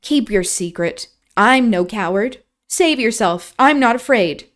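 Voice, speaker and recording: A woman's voice: fairly high pitch, animated, measured pace, fairly bright tone. Passionate, scornful young woman, impassioned scolding, from a woman reader.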